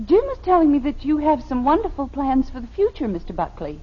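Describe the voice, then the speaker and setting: High-voiced, gracious radio sitcom hostess. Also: female voice, very animated, fairly quick. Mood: polite, tactful. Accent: American. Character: gracious, tactful small-town American wife and hostess. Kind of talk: old-time American radio sitcom acting. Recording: dull, noisy vintage radio recording.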